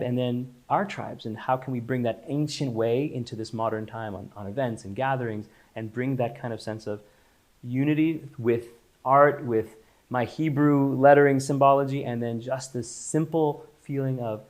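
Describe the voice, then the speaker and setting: A man born in Israel and raised in Los Angeles talks about his work as an interview guest. He speaks in a loose, meandering way, often restarting and repeating words, in a voice of ordinary middle pitch. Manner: loose, searching delivery with frequent restarts and repeats. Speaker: guest, company founder. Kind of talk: casual interview chat.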